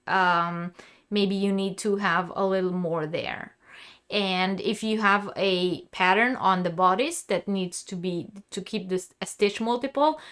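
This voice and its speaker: A sick woman hosts a live crochet class, her smooth, resonant voice sounding worn from a sore throat. She talks in a chatty, looping way, restarting sentences and answering viewers' questions as they come in. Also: medium pitch, fairly bright tone. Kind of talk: live-stream crochet lesson with chat questions.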